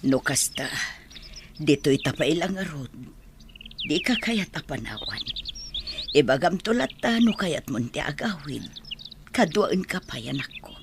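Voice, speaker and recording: A woman with an Ilocano Filipino accent playing a gentle middle-aged mother consoling her grieving son in an audio drama. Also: deep voice, sing-song, unhurried, pauses often, background noise, exaggerated voice. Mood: caring. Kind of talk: amateur audio drama acting.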